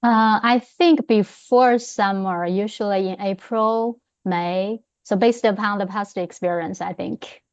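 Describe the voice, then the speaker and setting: A woman with a Chinese accent speaks as an interview guest. Her voice sits at a medium pitch, and she answers conversationally, hesitating as she gathers her thoughts. The recording is somewhat muffled. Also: fairly even intonation, measured pace, hardly any pauses, clear, resonant tone. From China. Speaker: interview guest, history professor.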